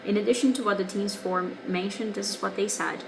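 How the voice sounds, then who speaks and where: A woman's voice: mid-range voice, steady tone, brisk, creaky, noisy background. A woman in her twenties from Brazil, a native Portuguese speaker with a Brazilian accent, working as an English teacher and frankly explaining things in a casual video reply. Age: 20s. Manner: candid and wry, explaining patiently.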